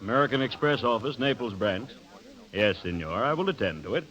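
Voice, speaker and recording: An animated man playing an obliging Italian office clerk in a 1930s radio play. His intonation swings widely and his manner is formal and deferential. The old recording is muffled. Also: medium pitch, a little fast, natural voice. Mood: courteous. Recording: dull vintage radio recording.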